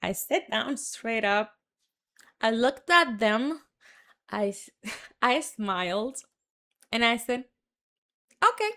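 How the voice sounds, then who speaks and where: A woman's voice: a little high, sing-song, slow, pauses often, pushed and bright. A young woman with a Dominican Spanish accent tells her story in a frank, chatty monologue, full of fillers and restarts. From Dominican Republic. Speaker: marketing entrepreneur.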